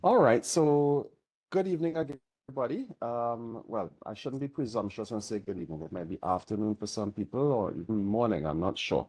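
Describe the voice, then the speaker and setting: A man's voice: on the low side, expressive, a little fast, a little mellow, husky, rough recording. A man chairing an online meeting, a little nasal from a cold, easygoing and chatty while keeping his diction careful.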